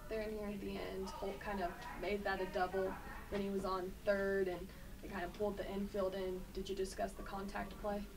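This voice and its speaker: A woman's voice: medium pitch, flat delivery, a little fast. A woman asking questions as a reporter at a press conference, recorded far from the microphone. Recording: distant from mic, clear background noise.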